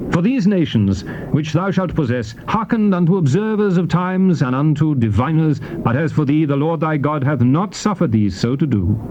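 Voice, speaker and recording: Man solemnly reading scripture on noisy tape. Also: a little high. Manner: solemn, declamatory, formal. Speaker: scripture narrator. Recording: dull noisy old cassette tape.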